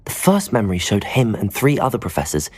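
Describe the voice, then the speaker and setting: A young man playing a polite, eager teenage wizarding student in a video game, earnest and courteous, with a husky voice. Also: fairly low pitch, wildly swooping pitch, a little fast, darkish tone, heavily acted. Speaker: student character. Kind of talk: video game character acting.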